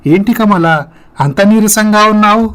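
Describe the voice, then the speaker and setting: Casting: male narrator as lovelorn young man in story drama, slightly high voice, earnest and persuasive. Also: unhurried, fairly bright tone, clean tone. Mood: concerned. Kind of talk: scripted moral story dialogue.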